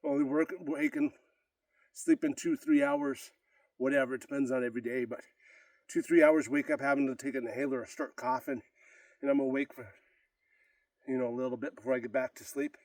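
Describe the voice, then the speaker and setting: A man's voice: medium pitch, fairly even intonation, a little fast, pauses often, darkish tone, dry recording. Breathless congested man, distant from mic. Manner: rambling, talks between sets. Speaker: fitness vlogger. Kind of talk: casual unedited workout vlog. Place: gym.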